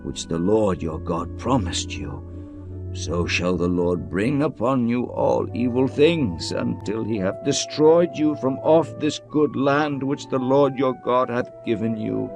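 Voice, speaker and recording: A solemn commanding leader, stately oratory, clear music underneath. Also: male voice, mid-range voice, expressive, a little slow. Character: Joshua, aged leader addressing the tribes. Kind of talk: dramatized scripture reading.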